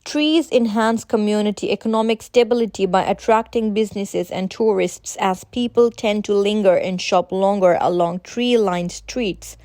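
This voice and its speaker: A woman reads scripted informational passages aloud in a measured, formal way as a model narrator. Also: mid-range voice, darkish tone, dry recording, clean studio sound.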